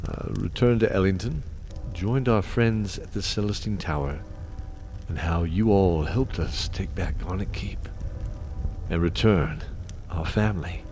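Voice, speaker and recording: A man's voice: sits low, unhurried, a little mellow, faint music behind. A man playing a talkative elder in a fantasy audio drama. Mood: measured, dry. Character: talkative elder man recounting his family's adventures.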